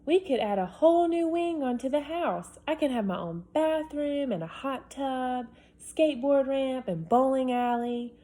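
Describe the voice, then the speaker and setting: A woman's voice: a little high, very animated, slow, a little mellow, pitched above the performer's own voice, heavily acted. A woman voicing a pushy young boy who writes letters begging his dad for his own room, whiny and overdramatic. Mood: pleading.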